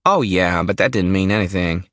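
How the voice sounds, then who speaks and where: A man's voice: sits low, moderate pace, a bit bright, no echo, studio quality. A man voicing a shy, nervous young man who works at his father's funeral home. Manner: jumpy, rural, keeps deferring to Daddy.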